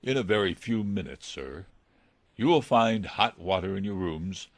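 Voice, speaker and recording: A man's voice: low voice, expressive, moderate pace, dry recording. A man playing a formal, subdued butler of a gloomy old mansion in an audiobook, deferential in manner. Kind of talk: audiobook character acting.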